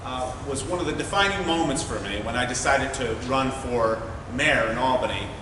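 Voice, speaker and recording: A man running for mayor, laying out his plans at a forum in a measured, methodical way with frequent "uh" fillers. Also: mid-range voice. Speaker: mayoral candidate. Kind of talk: campaign forum speech. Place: large meeting hall. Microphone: distant room mic. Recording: echoey hall from far off, noisy.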